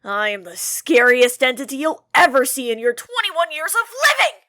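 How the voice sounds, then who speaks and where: A woman's voice: high voice, big pitch swings, normal speed, bright, forceful tone, heavily acted. A woman playing a boastful, indignant demon who lives in a computer monitor, in melodramatic comedy dialogue. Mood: indignant, menacing. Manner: melodramatic, self-important, easily exasperated.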